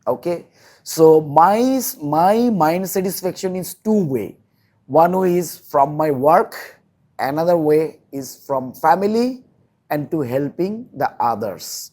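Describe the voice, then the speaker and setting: Slow-talking Bangladeshi man, earnest and halting. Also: high voice, a little mellow. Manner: halting, repeats phrases, earnest. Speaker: interview guest, volunteer social worker. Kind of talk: earnest interview talk in non-native English.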